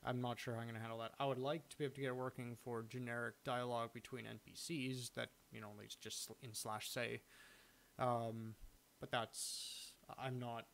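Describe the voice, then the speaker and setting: A man giving a casual, unscripted screen-recording walkthrough of his own software, halting as he thinks aloud. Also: mid-range voice, little pitch movement, creaky. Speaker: software hobbyist demonstrating own add-on. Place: indoors, at a computer recording the screen.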